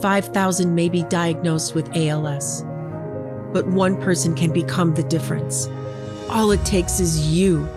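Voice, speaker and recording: An American woman narrates a scripted public-service announcement. Her voice is on the low side and her delivery is earnest. Instrumental music is clearly heard behind her. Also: fairly even intonation, measured pace.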